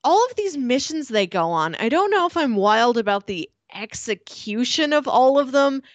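Bright-voiced woman, animated retelling. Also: high voice, normal speed, no room sound. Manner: animated retelling with frequent laughs. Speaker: podcast co-host. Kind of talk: casual book-club chat.

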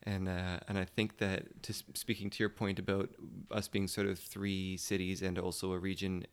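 A man speaking as a guest in a casual panel discussion, thoughtful and often pausing to search for words. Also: sits low, little pitch movement, smooth.

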